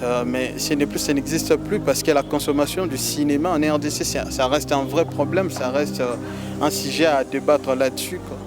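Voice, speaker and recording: A man's voice: on the high side, steady tone, moderate pace, over loud music. A Congolese man from DR Congo, a festival organizer answering interview questions in a hesitant way, with many filler sounds and repeated words. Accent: Congolese French.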